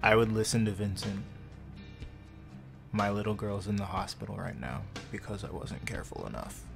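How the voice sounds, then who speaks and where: Man playing a low-voiced, stern fatherly advisor. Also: normal speed, vocal fry, over music. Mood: calm, grave.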